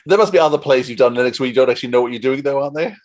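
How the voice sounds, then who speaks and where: A man's voice: medium pitch, very fast, a little hiss or hum. Man interviewing in a casual chat.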